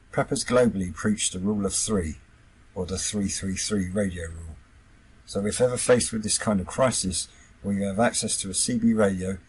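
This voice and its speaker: A man presents a scripted explainer as the host of an online video. His voice sits low and deep. It has a strongly husky, unclear grain throughout, and he delivers prepared sentences as a narrator. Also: low-quality recording. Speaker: YouTube host, CB radio hobbyist.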